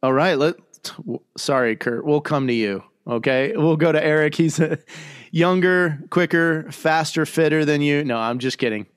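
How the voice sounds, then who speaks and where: A man's voice: a little high, moderate pace, clear, resonant tone, no echo, studio quality. A man hosting a podcast in a chatty, joking way, wandering into asides as he introduces his guests. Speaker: podcast host and chaplain. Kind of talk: casual podcast chat.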